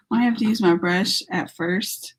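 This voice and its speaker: A woman's voice: fairly low pitch, a little hiss or hum, rough recording. A woman artist with a sore throat and a fading voice, chatting casually and thinking aloud as she paints during a live tutorial. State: sore throat, losing her voice.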